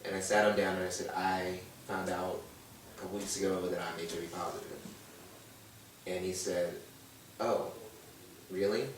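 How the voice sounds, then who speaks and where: Low-voiced man in an echoey room. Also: level tone, moderate pace, pauses often, a little hiss or hum. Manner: earnest, storytelling, quoting others. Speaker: guest. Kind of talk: casual panel chat. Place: room with a brunch panel. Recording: echoey room, distant mic.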